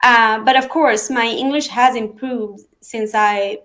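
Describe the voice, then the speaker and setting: A woman from Sweden, whose first languages are Aramean and Arabic, talking through her path in real estate in a relaxed interview with frequent fillers and restarts. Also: on the high side, slow. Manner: thoughtful, many fillers and restarts. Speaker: real estate agent, guest. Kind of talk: casual interview conversation.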